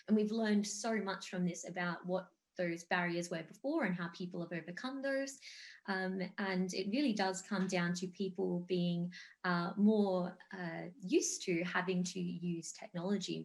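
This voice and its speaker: Enthusiastic woman on a video-call panel. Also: fairly low pitch. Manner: enthusiastic, fluent with fillers. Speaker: panelist, game company founder. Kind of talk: online panel discussion.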